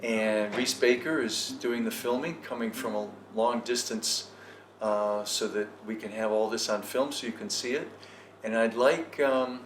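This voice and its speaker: Man hosting in an echoey room. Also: mid-range voice. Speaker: host of a group conversation. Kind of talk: casual reflective discussion. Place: cafe tea house. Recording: echoey room, slightly noisy, distant mic.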